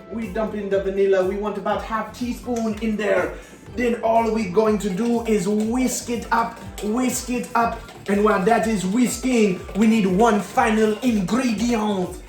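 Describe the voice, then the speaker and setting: A man's voice: high-pitched, darkish tone. A man playing a jolly chef on a kids' cooking show with a put-on French accent, hammy and playful, groping for words. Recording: roomy kitchen echo, faint music.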